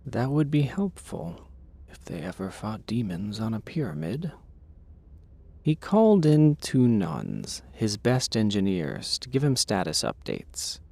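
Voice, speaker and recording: Slow, gravelly male storyteller. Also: on the low side, wildly swooping pitch, darkish tone. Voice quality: gravelly, husky. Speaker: narrator. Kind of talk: scripted audio drama storytelling.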